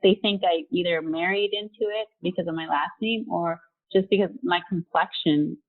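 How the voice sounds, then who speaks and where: A woman's voice: lowish voice, moderate pace. A woman in her forties, raised in Mexico, talking candidly as a guest on a video call, with casual fillers. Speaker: guest, company vice president. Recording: tinny phone-like video call audio.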